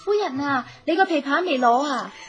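A woman playing a gentle, deferential young woman of a noble household in a Cantonese radio drama. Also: high voice, animated, moderate pace, soft background music. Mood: attentive. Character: gentle, self-blaming young noblewoman or her maid.

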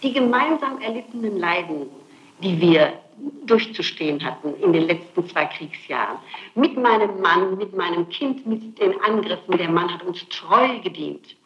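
A woman's voice: lowish voice, lively intonation, moderate pace. Insistent woman on echoey old tape. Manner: insistent, emphatic, repetitive denials. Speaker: accused suspect under interrogation. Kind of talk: interrogation testimony. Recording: muffled echoey archival interrogation tape.

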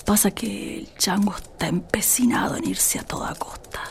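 A woman playing a troubled, humble rural mother in a radio drama, speaking earnestly in a breathy voice with a northwestern Argentine accent. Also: mid-range voice, steady tone, moderate pace, whispered, close to an everyday voice. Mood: distressed. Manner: earnest, plaintive, rustic.

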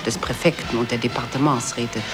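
An actress playing an expansive narrator of a satirical office tale, speaking in rolling, grandiloquent sentences. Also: female voice, extremely low pitch, steady tone, fairly quick, music in the background. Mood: ceremonious. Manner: grandiloquent, rolling long lists. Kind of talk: scripted radio play narration addressed to "you".

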